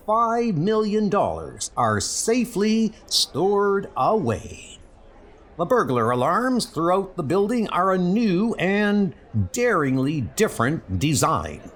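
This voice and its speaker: A man's voice: sits high, very animated, slow pace, a bit bright, voice pushed up, heavily acted. A man voicing a self-important bank president with formal, speechifying delivery. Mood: proud.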